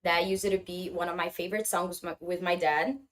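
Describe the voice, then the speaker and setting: Woman speaking halting English. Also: lowish voice, steady tone, fairly bright tone. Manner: halting, searching for English words. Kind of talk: casual vlog talk.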